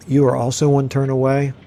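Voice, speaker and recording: A man commentating his strategy game play casually, thinking aloud with fillers, his voice going hoarse. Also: medium pitch, mellow, a little hiss or hum. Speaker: let's play host.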